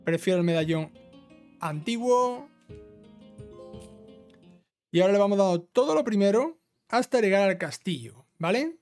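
High-voiced Spanish man guiding through a game. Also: lively intonation, normal speed, long pauses, a little mellow, soft background music. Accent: Spain. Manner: instructional, often ends phrases with a checking tag. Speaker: video game trophy guide host. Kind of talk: informal step-by-step game walkthrough commentary.

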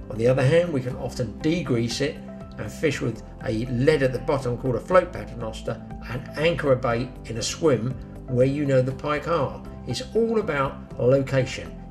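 An older man hosting a fishing show, giving practical tips outdoors by a reedy pond and talking straight to his viewers. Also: medium pitch. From England. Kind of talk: instructional outdoor fishing talk. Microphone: camera mic, some lines from further off.

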